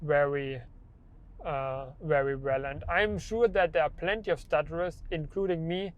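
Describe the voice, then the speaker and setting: A man's voice: a little high, unhurried, smooth, dull audio. A young man who stutters, with repeated words and restarts, talking about his own experience in a personal vlog. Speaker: vlogger.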